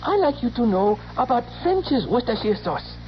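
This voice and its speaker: A woman playing a polite cook in a vintage radio commercial, with a Chinese accent and broken, deliberate English. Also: on the high side, big pitch swings. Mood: polite, eager. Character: polite immigrant cook pitching sauce in advert. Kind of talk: 1960s radio commercial acting. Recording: muffled, noisy vintage radio recording.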